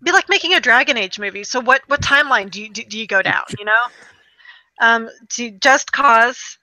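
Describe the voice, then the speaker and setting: A woman's voice: a little high, moderate pace, bright, forceful tone, no room sound, poor audio. A woman co-hosting a video game show in casual chat, running through a list with wry, dismissive asides.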